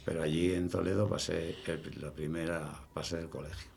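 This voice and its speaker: Older man, early 70s, Castilian accent, deep and very gravelly, halting, informal recollections. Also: little pitch movement, darkish tone. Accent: Castilian Spanish. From Spain. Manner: halting, repeats words as he recalls. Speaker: interviewee. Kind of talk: casual reminiscing.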